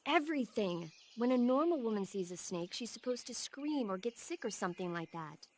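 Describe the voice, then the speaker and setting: An actress playing an earnest, knowledgeable support advisor in a video game, explaining things informatively. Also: female voice, fairly high pitch, animated, a little fast, slight background noise, close to an everyday voice. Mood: exasperated. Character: knowledgeable female radio support advisor, earnest, easily exasperated.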